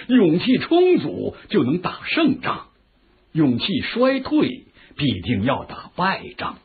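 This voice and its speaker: A man's voice: on the high side, creaky, slightly rough, heavily acted. An actor playing a shrewd male military strategist who gives patient, lecturing explanations in a radio drama. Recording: tinny phone-like lo-fi audio.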